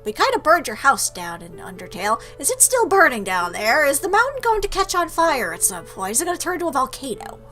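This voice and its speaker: A woman's voice: high-pitched, huge pitch swings, fairly quick, few pauses, pushed and bright, soft background music. A woman playing a visual novel, commenting and reading the dialogue aloud. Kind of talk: playthrough commentary with voiced dialogue.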